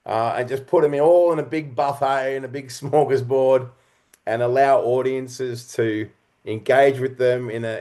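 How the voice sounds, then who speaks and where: Male interview guest, mid-range voice, creative type, thoughtful and expressive, conversational storytelling in broken phrases. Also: mid-range voice.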